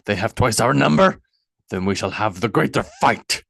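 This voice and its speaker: A man's voice: medium pitch, animated, moderate pace, vocal fry, no echo. A man doing a fierce Norse warrior king. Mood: defiant. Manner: loud, emphatic, each word stressed.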